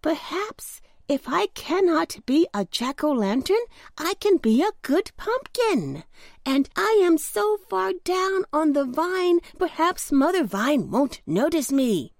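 Lady doing a tiny worried pumpkin kid from a storybook, really high n plaintive, slow and earnest. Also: sing-song, darkish tone, no room sound, clean studio sound, exaggerated voice. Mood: hopeful. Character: small, anxious, earnest young male pumpkin. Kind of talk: children's storybook character voice.

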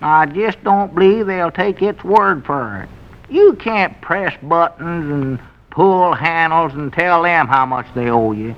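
An actor playing a simple-minded, suspicious old country storekeeper in an old-time radio comedy, with a rural Southern accent and querulous, naive talk. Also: male voice, fairly high pitch, expressive, takes it slowly. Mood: suspicious grumbling. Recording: muffled vintage radio recording.

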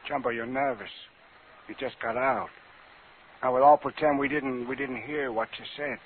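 A coaxing gangster with a creaky voice that rises and falls freely. He needles like a radio-drama crook, on a thin 1950s broadcast recording. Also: medium pitch, sing-song, normal speed, narrow, tinny audio. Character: croaky male gang crook, coaxing, needling. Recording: band-limited, slightly noisy old radio broadcast.

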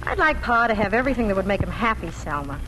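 Practical farm mother, wry, caring, a little weary, mid-pitched, old-time radio play with faint hiss. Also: sing-song, quick pace, a bit bright. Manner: plain-spoken, wry. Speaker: radio drama actor. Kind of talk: 1940s radio acting. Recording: faintly noisy old 1940s radio broadcast.

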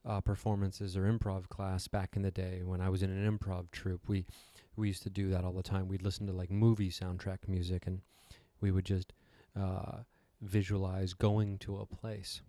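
A man with a slightly raspy voice, giving a casual, rambling talk with wry, self-deprecating asides. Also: low-pitched, flat delivery, moderate pace, few pauses, dark tone, dry recording. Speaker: host giving a talk on meditation. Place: hotel room.

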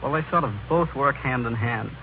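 A man with a mid-pitched voice gives plain, slightly stiff answers as a radio guest. The vintage broadcast is tinny, with light noise. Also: moderate pace. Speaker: interview guest, photographer. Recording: noisy, narrow-band vintage 1930s radio broadcast.

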